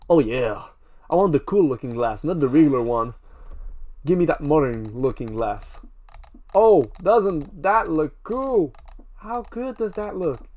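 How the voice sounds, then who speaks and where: A man's voice: on the high side, darkish tone. Rambling, playful man narrating gameplay. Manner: rambling, playful, talks straight to viewers. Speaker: gaming video creator. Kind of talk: casual gameplay commentary.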